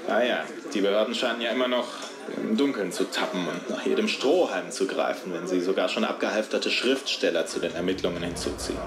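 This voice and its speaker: An actor playing a glib, pushy TV reporter amid a crowd. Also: male voice, low voice, fairly quick, hardly any pauses, natural voice. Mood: smug. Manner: glib, sensationalist. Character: pushy male TV reporter, smug. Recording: echoey outdoor crowd.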